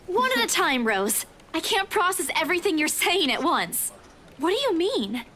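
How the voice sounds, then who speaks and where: Woman acting as young female estate agent, high-pitched, eager, earnest, visual novel character, noisy background. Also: very animated, moderate pace, sharp tone, full character voice. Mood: flustered.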